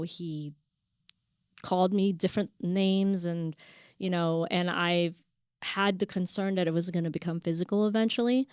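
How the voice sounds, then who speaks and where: A woman born in the Philippines, telling her life story as an interview guest. Her voice is smooth, clear and slightly low, and she speaks softly in a wandering, candid way with plenty of fillers. The sound is dry and close. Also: no room sound. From Philippines, raised in Virginia, USA. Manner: soft-spoken, rambling, frequent fillers and self-corrections. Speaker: guest, business founder.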